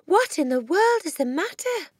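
A woman voicing a gentle, kindly young female teacher with a quiet manner in a storybook reading. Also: fairly high pitch, big pitch swings, unhurried, dry recording, studio quality, voice pushed up. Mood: concerned.

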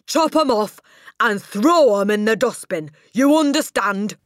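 A woman voicing a huge, brutal headmistress who barks and shouts at children. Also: lowish voice, unhurried, dry recording, clean studio sound, close to an everyday voice. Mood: furious. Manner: shouting, barking.

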